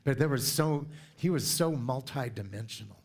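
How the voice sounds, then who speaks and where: A man in his sixties from California with a deep voice, giving a chatty, playful talk to a congregation and drawing the audience in. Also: expressive, moderate pace, creaky, slightly rough. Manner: chatty, playful storyteller who asks the audience for input. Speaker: speaker at a spiritual center.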